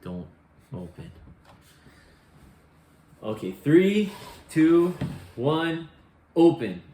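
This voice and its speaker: A man's voice: a little high, very animated, extremely slow, mellow. A man in his thirties from Canada, chatting in a playful, teasing way while showing old things as co-host. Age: 30s. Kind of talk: casual show-and-tell chat. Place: family home or garage. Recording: echoey room with faint noise.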